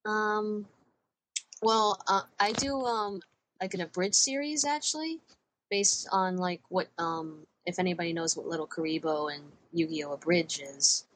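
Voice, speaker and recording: A woman's voice: lowish voice, unhurried, a bit bright, a little hiss or hum, poor audio. A woman guesting on a podcast, giggly and hesitant, with many ums. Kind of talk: casual podcast chat.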